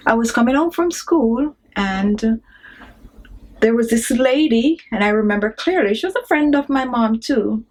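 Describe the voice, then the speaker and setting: A woman's voice: on the high side, low-quality recording. A woman in her thirties from Jamaica with a strong Jamaican accent, chatting casually to camera and laughing often. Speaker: beauty vlogger. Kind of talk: casual vlog chat.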